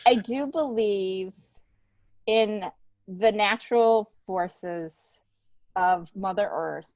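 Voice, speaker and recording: A middle-aged woman speaks as a guest in a relaxed, candid interview. She tells stories in an animated, conversational way, slipping into quoted dialogue. The sound is dry, with very little room in it. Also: medium pitch, drawn-out words, no room sound. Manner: animated storytelling, acts out remembered dialogue. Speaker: comedian and TV worker, podcast guest. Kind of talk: casual, candid interview chat.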